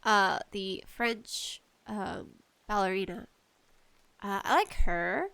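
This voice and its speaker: Female solo podcast host, casual and shy with nerves, unhurried halting delivery, very dry close sound, mild allergy trouble. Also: medium pitch, lively intonation, gravelly, fairly bright tone, clean tone, dry recording. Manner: nervous, apologetic, halting. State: allergies acting up. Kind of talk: casual solo podcast chat about games and tea.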